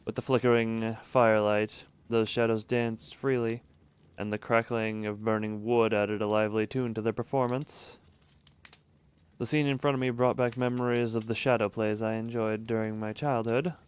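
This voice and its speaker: A man's voice: fairly low pitch, level tone, normal speed, smooth. A man streaming a visual novel, reading the narration aloud and breaking off for casual asides and small laughs. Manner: reads narration evenly, breaks off for chuckling asides.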